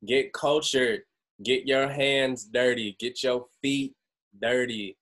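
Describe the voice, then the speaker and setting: A man's voice: mid-range voice, slow pace. A young man hosting a group call, talking casually and acting out quoted voices with laughs. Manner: animated, acts out quotes, laughs. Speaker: host.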